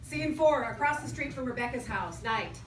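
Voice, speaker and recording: A woman's voice: high voice, expressive, moderate pace, a crowd in the background. A woman reading scripted stage directions as narrator at a live staged reading. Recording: distant echoey live stage, faint noise.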